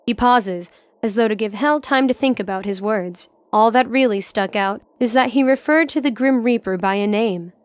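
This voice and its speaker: A woman's voice: a little high, moderate pace, no echo. A woman narrating a scripted story for an audio drama.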